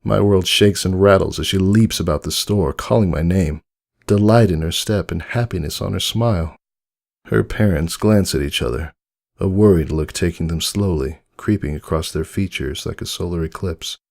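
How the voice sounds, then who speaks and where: Casting: wistful old rag doll narrator played by a man, deep and creaky, earnest dramatic storybook reading over music. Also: normal speed, a little mellow. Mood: anxious, sinking. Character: wistful, devoted old stitched toy doll, longing for friendship. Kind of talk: dramatic fan fiction narration. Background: music under the voice.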